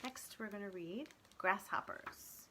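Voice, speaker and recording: A middle-aged woman presenting as a children's librarian and reading poetry aloud with clear, deliberate enunciation. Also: mid-range voice, background noise.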